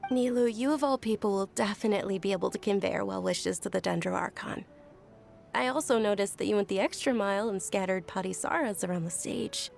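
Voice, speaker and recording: A woman voicing a gentle, gracious young female patron in a video game, polite and composed. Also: mid-range voice, a little fast, gravelly, soft background music, close to an everyday voice. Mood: composed, kind. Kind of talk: video game character acting.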